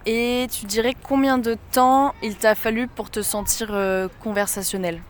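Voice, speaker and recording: A woman's voice: on the high side, steady tone, a little hiss or hum. Chatty woman interviewing on a street. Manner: chatty, quick, encouraging, lots of agreeing murmurs. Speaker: interviewer, channel host. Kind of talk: casual interview. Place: outdoors on a busy city street.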